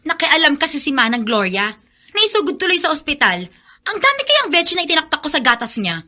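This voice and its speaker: An actress in a Filipino radio drama playing a volatile, spiteful young heiress with a melodramatic, demanding manner. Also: female voice, high-pitched, big pitch swings, brisk, pushed and bright, heavily acted. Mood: sullen, resentful. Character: spiteful, volatile young heiress raging over being adopted.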